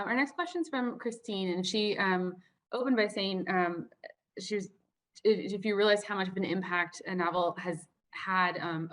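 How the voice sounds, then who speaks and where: A woman's voice: fairly low pitch, a bit bright. A woman at an event relaying audience questions, informally paraphrasing them in a tentative way.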